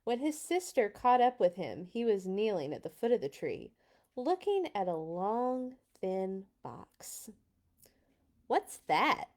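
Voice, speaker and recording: A woman narrating a children's storybook aloud in an expressive read-along style. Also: medium pitch, unhurried.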